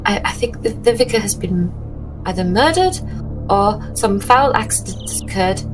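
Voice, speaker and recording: A genteel lady played by a woman speaks haltingly and formally, nervous yet proud. Her old-fashioned phrasing suits a tabletop horror game, with music clearly playing behind her. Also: medium pitch, expressive, natural voice, poor audio. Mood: nervous, shaken.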